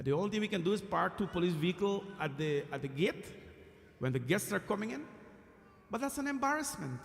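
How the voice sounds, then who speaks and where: A man from Guyana, a temple priest, giving an earnest, emphatic devotional sermon with frequent pauses. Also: high-pitched, vocal fry. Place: temple hall. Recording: echoey temple hall.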